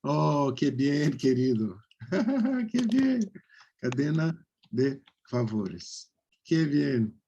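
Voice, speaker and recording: A man, speaking slowly and reflectively in a casual online faith discussion over a video call. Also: a little high, sing-song, slightly rough, dull audio. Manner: slow, warm and reflective, with soft laughs. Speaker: speaker leading a faith study talk.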